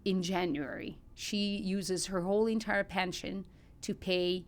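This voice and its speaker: A woman's voice: medium pitch, fairly even intonation, a little slow. A middle-aged woman from Ukraine with a Ukrainian accent, telling personal stories conversationally as a podcast guest, earnest and emphatic.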